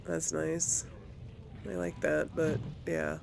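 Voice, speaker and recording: A woman's voice: low-pitched, flat delivery, slow pace, creaky, background noise. A woman hosting a let's play, chatting casually and thinking aloud as she comments on her game.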